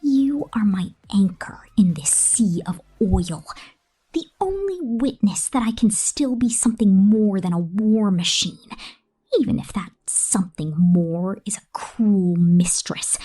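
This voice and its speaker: A woman voicing a cruel, possessive killer robot captor in a menacing, taunting ASMR roleplay, mostly in a breathy whisper. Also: medium pitch, expressive, mellow. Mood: threatening, domineering. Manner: menacing, taunting, slow and deliberate.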